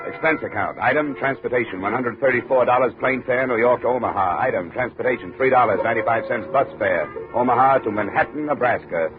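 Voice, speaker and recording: Man playing a fast-talking hard-boiled investigator. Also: mid-range voice, over music, natural voice. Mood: dry, businesslike. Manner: clipped, dry. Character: hard-boiled, wry insurance investigator narrating his case. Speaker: lead and narrator of detective series. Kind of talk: 1950s radio drama narration and acting. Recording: thin, tinny 1950s radio recording.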